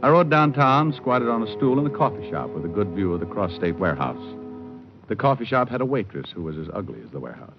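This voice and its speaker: An actor playing a suave, sardonic private detective, narrating and talking in a noir radio drama. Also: male voice, lowish voice, very animated, fast. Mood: wry, sardonic. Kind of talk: first-person noir radio narration and dialogue. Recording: muffled old radio recording with music. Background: music.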